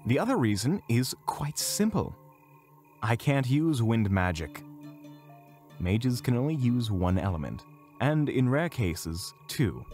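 A man playing a composed, dryly self-assured mage, explaining in a measured way in an audio drama. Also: lowish voice, a little mellow. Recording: music playing behind. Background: background music.

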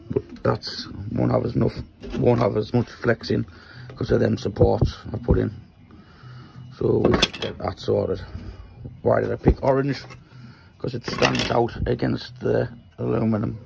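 A man from Newcastle with a Geordie accent and a croaky voice, casually talking through his workshop project while filming on a handheld phone in a small shed. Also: lowish voice, very noisy. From Newcastle, England. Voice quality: croaky, gravelly voice. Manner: rambling, explains while handling parts. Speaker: maker vlogger.

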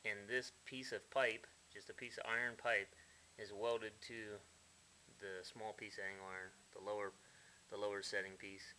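A man's voice: fairly low pitch, little pitch movement, moderate pace, sharp tone, slight background noise, rough recording. Blunt folksy man in his workshop. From United States. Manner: blunt, folksy, mildly profane. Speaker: hobbyist builder. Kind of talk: casual workshop walkthrough. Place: dim garage workshop.

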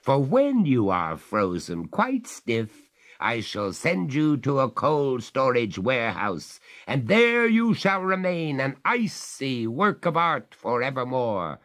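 A stern master of the house answers with measured, icy courtesy, as a man reading the story plays him. He speaks slowly and with a lively rise and fall, firm and ironic. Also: mid-range voice, voice pushed up.

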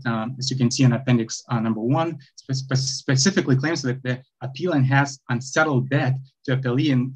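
A young man with a Lithuanian accent arguing his own appeal over a video call, halting, with many fillers and restarts. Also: mid-range voice, a little mellow, husky. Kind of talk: remote oral argument before a court. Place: bright indoor room, video call. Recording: dull lo-fi video call audio.

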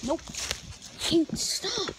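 A teenage boy vlogging outdoors in the woods on a handheld phone, chatty and excitable, cursing under his breath as he works. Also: extremely slow. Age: teens. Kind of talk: casual outdoor vlog. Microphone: handheld phone camera. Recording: loud outdoor noise on phone.

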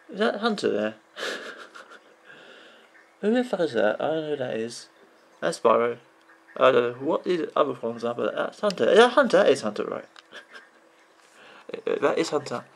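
A man in his thirties with a croaky voice, rambling off the cuff as he vlogs about video games at his computer, full of restarts and "you know"s. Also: mid-range voice, sing-song, measured pace, long pauses. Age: 30s. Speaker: video game vlogger. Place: indoors at a computer.

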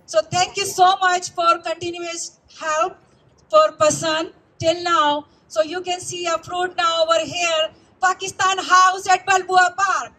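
Older woman, very high voice, halting thanks. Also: little pitch movement, pushed and bright, poor audio. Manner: hesitant, thankful, with non-native phrasing. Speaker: community organizer. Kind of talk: event welcome speech. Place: outdoor stage in a park. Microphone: handheld mic over a PA.

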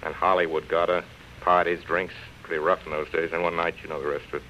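A man's voice: deep voice, little pitch movement, quick pace, sharp tone. An actor in a 1930s radio drama playing a proud, bitter, hard-drinking film star past his prime, theatrical and self-pitying. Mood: brooding, wistful. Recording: muffled, noisy 1930s radio broadcast.

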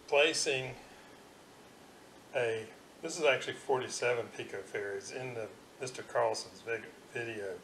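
A man giving an informal hands-on technical demonstration as an electronics hobbyist, halting and thinking aloud, recorded in a room at home on a camera's built-in microphone. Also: mid-range voice, fairly bright tone. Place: room in a house. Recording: roomy echo, faint background noise.

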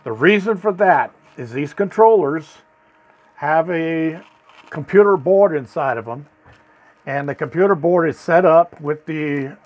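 A man's voice: a little high, muffled recording. Folksy man explaining his solar wiring. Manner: folksy, repeats words, asks "okay?" after steps. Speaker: homestead channel host. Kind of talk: hands-on how-to demonstration. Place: small solar battery shed, indoors.

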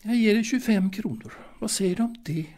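Audio drama: seductive widow of middle age, played by a man, genteel, coaxing, gravel in the voice. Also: high voice, darkish tone, voice pushed up. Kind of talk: audio drama monologue acting.

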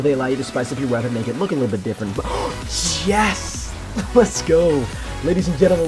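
Fast-talking hyped young man. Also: mid-range voice. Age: young adult. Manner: hyped, chatty, bursts of excitement. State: slightly raspy voice after yelling. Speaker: gaming YouTuber. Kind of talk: excited gameplay commentary. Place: bedroom.

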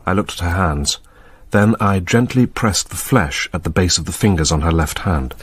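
An actor with a British accent playing a shrewd senior police detective in a radio drama, measured and businesslike. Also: male voice, sits low, animated, breathy edge. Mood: brisk, certain. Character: composed, shrewd male senior police detective, polite and matter-of-fact. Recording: noisy radio drama with ambience.

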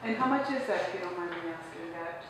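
A woman's voice: fairly low pitch, expressive, fast. A woman taking part in a class, asking casual questions. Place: large classroom, indoors. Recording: echoey room, distant mic, noisy.

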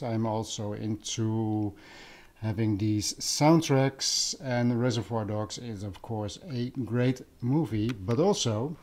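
A man with a Dutch accent talks in a relaxed, soft-edged tone. His pace is slow, and he often searches for the next word. It is casual, off-the-cuff vlog commentary from someone at home. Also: medium pitch. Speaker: vlogger, audio enthusiast. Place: living room at home.

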